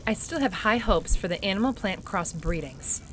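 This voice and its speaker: A woman playing an earnest, idealistic female botanist in an audio drama, dictating notes in a deliberate, articulate way. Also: medium pitch, lively intonation, close to an everyday voice, rough recording. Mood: earnest resolve. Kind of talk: hobby audio drama acting. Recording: faint background noise and ambience.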